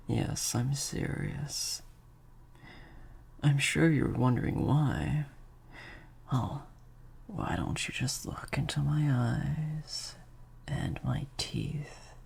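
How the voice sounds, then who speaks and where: Husky, creaky sadistic male vampire played by a man. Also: mid-range voice, exaggerated voice. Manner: menacing, condescending, teasing, breathy half-whispers. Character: sadistic male vampire master taunting his captive. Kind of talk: improvised audio roleplay addressed to the listener.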